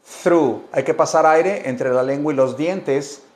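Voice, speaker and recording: A man teaching English, switching between languages and repeating each phrase slowly for learners. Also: fairly high pitch, darkish tone. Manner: slow, deliberate drilling with many repetitions. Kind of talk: bilingual language lesson.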